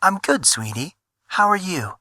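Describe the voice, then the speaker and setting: A man's voice: a little high, very animated, measured pace, pushed and bright, husky, no echo, clean studio sound, voice pushed up, heavily acted. A man voicing an affectionate, teasing woman with a sweet manner in an audio story. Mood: forced sweetness. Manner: sweet, forced cheer.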